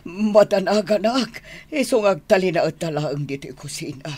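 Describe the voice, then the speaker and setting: A woman's voice: on the low side, expressive, moderate pace, a little mellow. A woman playing an anxious, protective older mother in an Ilocano radio drama, with an Ilocano accent. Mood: hushed, worried. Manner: anxious, fretful. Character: frightened, protective older mother of a grown daughter.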